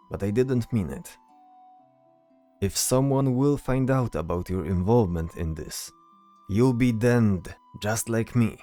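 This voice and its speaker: Man acting a low, brooding fantasy soldier. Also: warm tone. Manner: hesitant, solemn. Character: brooding, dutiful male soldier, weary and earnest. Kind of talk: fantasy audio drama acting.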